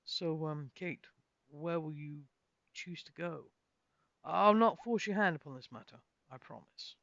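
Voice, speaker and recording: A woman voicing a calm male magic user in a storybook, formal, deliberate and quietly in charge. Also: low-pitched, no echo, highs cut off, heavily acted. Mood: soft, gentle. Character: calm, measured male magic user, softly authoritative leader.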